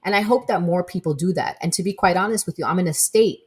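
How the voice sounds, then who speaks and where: A woman with a low, deep voice co-hosts a casual podcast. She talks emphatically and tells stories with energy, posing rhetorical questions and answering them herself. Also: quick pace, breathy edge. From Palestinian American. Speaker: podcast co-host. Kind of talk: casual political chat.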